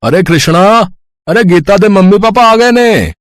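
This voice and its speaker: A woman voicing a welcoming older Punjabi father-in-law in a story, loud and hearty, with a Punjabi accent. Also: mid-range voice, lively intonation, measured pace, close to an everyday voice.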